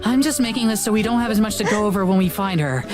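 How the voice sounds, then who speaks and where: A woman's voice: medium pitch, lively intonation, quick pace, close to an everyday voice. A woman playing a young, salty-tongued paranormal show host in a visual novel, hiding heartbreak under casual patter. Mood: showy cheer. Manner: casual, salty, performed cheer. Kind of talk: visual novel character acting. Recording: music behind the voice.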